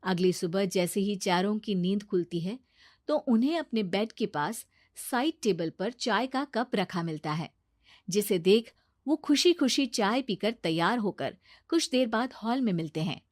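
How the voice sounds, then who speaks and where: Dry, medium-pitched woman story narrator. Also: animated, a little slow, dry recording. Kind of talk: scripted children's story narration.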